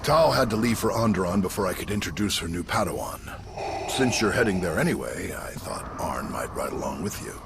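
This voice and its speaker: A man voice-acting a calm, solemn, fatherly mentor with a gravelly voice in a video game. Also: low-pitched, brisk, noisy background. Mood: calm, gentle.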